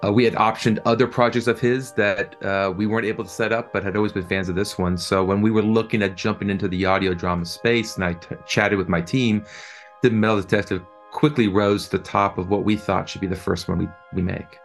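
A man's voice: lowish voice, steady tone, fairly quick, faint music behind. A man answering interview questions as a producer, conversational and enthusiastic, often repeating words as he thinks. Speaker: film and audio drama producer being interviewed.

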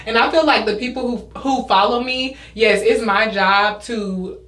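A woman's voice: on the high side, steady tone, normal speed, fairly bright tone. A young woman hosting a casual podcast chat, giving her opinions in an emphatic, informal way. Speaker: podcast host, influencer. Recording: echoey, roomy indoor podcast sound.

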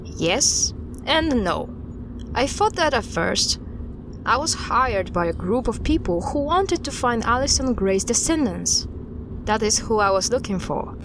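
A woman playing a guarded investigator in an audio drama, recounting an unsettling case in a halting, deliberate way. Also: on the high side, lively intonation, noisy background. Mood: measured, uneasy. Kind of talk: audio drama dialogue, storytelling.

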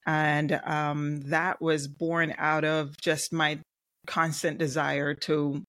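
A middle-aged woman from Jamaica with a light Jamaican accent, a guest talking in a friendly, measured way with many pauses. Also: low voice, flat delivery, fairly bright tone, dry recording, clean studio sound. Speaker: guest, web designer and digital marketer. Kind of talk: casual interview chat.

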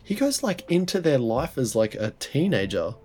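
A man with a head cold talks loosely on a podcast, his nose blocked and throat sore. He stumbles through restarts and swears casually, with a mid-pitched voice and faint music underneath. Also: very animated, normal speed, darkish tone. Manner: rambling, laughing, swearing, many restarts. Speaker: podcast co-host. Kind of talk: casual chat.

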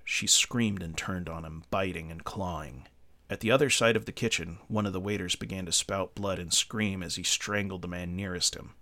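A man's voice: low voice, fairly even intonation, moderate pace, pushed and bright. A man reading scripted horror fiction as a podcast narrator, with music behind him.

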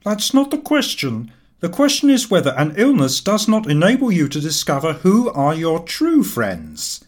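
A man voicing an aggrieved, self-pitying invalid gentleman in a comic audiobook, complaining in a plaintive, touchy way. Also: a little high, wildly swooping pitch, normal speed, voice pushed up. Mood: reproachful. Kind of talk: audiobook character voice, comic Victorian novel.

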